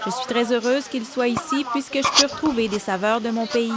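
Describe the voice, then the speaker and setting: A woman's voice: fairly high pitch, steady tone, fairly quick, over a noisy crowd. A woman reading a voice-over translation of a customer in a cheerful, light way. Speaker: interpreter voicing a customer.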